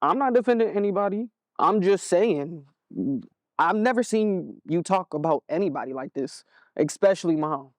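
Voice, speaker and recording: Chill worried american big brother guy acting, casual talk, slightly high voice, dry sound. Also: animated, moderate pace, no room sound. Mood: concerned. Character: concerned, easygoing older brother, young adult. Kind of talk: stage play acting.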